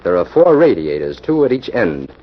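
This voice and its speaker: Low-voiced, composed scientist sleuth. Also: male voice, sing-song. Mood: brisk, attentive. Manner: measured, dry, firm. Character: composed, dryly amused male scientist-sleuth. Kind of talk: 1940s radio mystery acting. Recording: muffled, noisy old radio recording.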